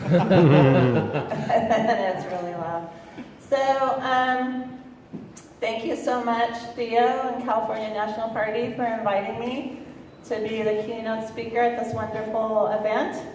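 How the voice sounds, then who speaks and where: A woman's voice: on the high side, takes it slowly, pauses often, darkish tone, a little hiss or hum. A woman giving a keynote speech at a political convention. She talks off the cuff and bluntly, with fillers and restarts, and laughs between her lines. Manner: speaks hesitantly with many fillers and restarts. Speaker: keynote speaker. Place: convention hall. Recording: echoey hall from far off.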